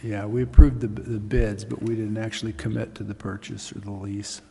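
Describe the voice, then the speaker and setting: A man with a low, creaky voice gives careful official explanations in a very flat tone. The chamber adds long reverb around him. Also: moderate pace. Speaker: city official answering council questions. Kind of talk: measured explanation at a public meeting. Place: indoor council chamber. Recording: echoey chamber with faint noise.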